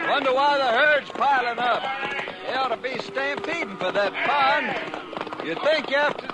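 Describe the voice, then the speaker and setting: A man's voice: extremely high pitch, measured pace. An actor playing a seasoned, determined male cattle boss in a 1950s radio western. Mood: urgent, assured. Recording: noisy 1950s radio recording.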